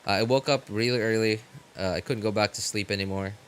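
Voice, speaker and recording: A man's voice: lowish voice, fairly even intonation, fast talker, fairly bright tone, a little hiss or hum. A man chatting casually on a livestream as a game streamer, rambling in slangy talk and often repeating his phrases. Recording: music behind the voice. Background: background music.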